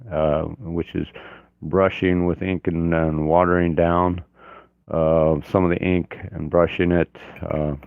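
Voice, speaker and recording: A man hosting his art channel in a relaxed livestream chat from his home office, hoarse from a cold and talking haltingly with many fillers. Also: low voice, no echo, narrow, tinny audio. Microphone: external mic on camera.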